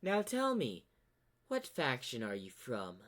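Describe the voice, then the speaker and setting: A man's voice: medium pitch, wildly swooping pitch, takes it slowly, fairly bright tone, exaggerated voice. A man voicing a boastful, sadistic female unicorn pony sorceress in a fan-made story, smug and taunting. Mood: demanding.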